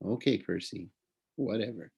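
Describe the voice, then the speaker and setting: A man voicing a gentle, modest young male satyr, speaking in an earnest, reassuring way as part of a storybook reading. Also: lowish voice, normal speed, creaky, husky, heavily acted. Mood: soft, resigned.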